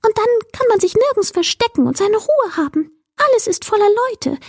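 A woman's voice: extremely high pitch, big pitch swings, moderate pace, dry recording, studio quality, pitched above the performer's own voice, exaggerated voice. A woman voicing a small, skittish will-o'-the-wisp in a bedtime story, stammering when scared and gushing when happy. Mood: enthusing. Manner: stammering, trembling at first, then gushing. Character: timid, then giddy little will-o'-the-wisp.

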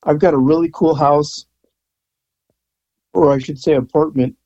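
A man in his sixties talks as a guest in a loose, rambling way, full of fillers and restarts. His voice is mellow and a little higher than usual for a man. The sound is dry and slightly dull, as if from a lo-fi recording. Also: steady tone, unhurried, no echo. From San Francisco Bay Area. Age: 60s. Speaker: guest, rock photographer. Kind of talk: casual interview chat.

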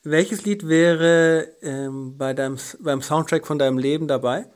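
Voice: medium pitch, a little slow, a little mellow. Hesitant podcast host asking questions. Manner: hesitant, many fillers. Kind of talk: interview questions.

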